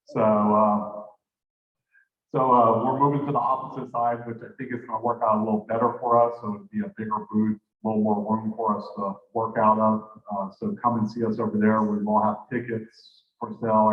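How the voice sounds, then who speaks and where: A man's voice: fairly low pitch, flat delivery, moderate pace. A man making casual announcements at a club meeting, talking in a loose, conversational way and correcting himself as he goes. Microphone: handheld microphone held at a distance. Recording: tinny, echoey room from far off.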